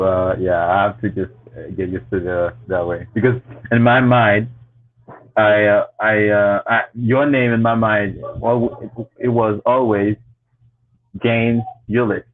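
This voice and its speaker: Man from Haiti, interview host, encouraging, fairly low voice, tinny phone-line sound. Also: fairly even intonation, slow, long pauses. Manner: warm, encouraging, frequent backchannels. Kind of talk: casual interview hosting.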